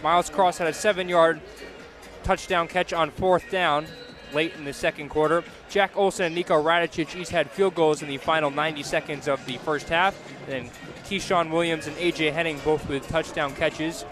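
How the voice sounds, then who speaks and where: High-voiced man, radio football play-by-play, live game commentary, excitable on big plays. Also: moderate pace, soft background music.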